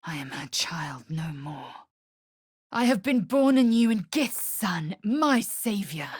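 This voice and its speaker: A woman's voice: mid-range voice, sing-song, takes it slowly, husky, no room sound, studio quality, exaggerated voice. An actress playing a fierce, proud alien warrior woman in a video game, speaking in a clipped, imperious, scornful way. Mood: solemn, moved. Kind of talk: video game character voice lines.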